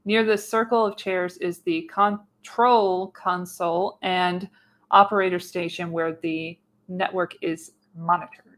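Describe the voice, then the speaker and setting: Slightly low-voiced woman narrating a script. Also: steady tone, measured pace. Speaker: narrator, podcast host. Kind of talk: scripted narration of screenplay stage directions.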